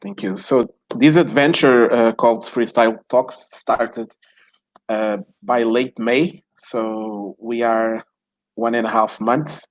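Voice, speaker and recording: A man's voice: mid-range voice, steady tone, slow pace, dry recording, muffled recording. A man from Mozambique, hosting an online talk show in a hesitant way with many fillers and restarts. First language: Portuguese. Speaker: show host.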